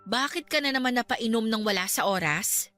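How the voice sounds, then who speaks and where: A woman's voice: fairly high pitch, expressive, a little fast, sharp tone. An actress with a Filipino accent playing a worried but firm young girlfriend in a Tagalog radio drama, emotional and protective. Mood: concerned, scolding. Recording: dramatic music bed behind the voice.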